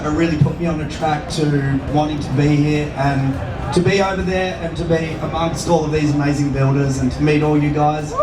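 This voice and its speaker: Hoarse man giving thanks, echoey and distant. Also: fairly high pitch, heavy background noise. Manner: halting, grateful. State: hoarse, voice lost. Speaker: award-winning bike builder. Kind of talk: informal thank-you speech. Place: outdoor motorcycle show. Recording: echoey, distant, music in background.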